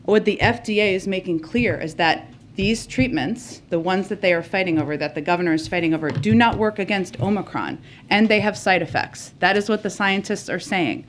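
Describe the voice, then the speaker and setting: Measured woman at a press briefing. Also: medium pitch, animated, a little hiss or hum.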